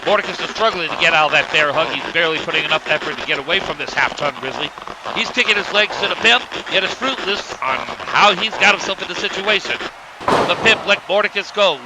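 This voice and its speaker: A man playing an excitable, colourful announcer who calls a fictional wrestling match play by play. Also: sits high, a little fast, heavy background noise. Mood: lively narration. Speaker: wrestling commentator. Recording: music behind the voice.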